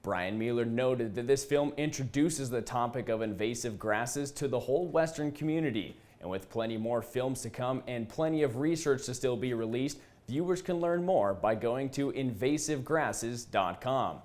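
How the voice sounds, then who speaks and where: A male news presenter delivers scripted narration for an agriculture broadcast. His pitch sits in an ordinary middle range for a man, and he speaks in a reporting style. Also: animated, moderate pace, hardly any pauses, darkish tone. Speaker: news host.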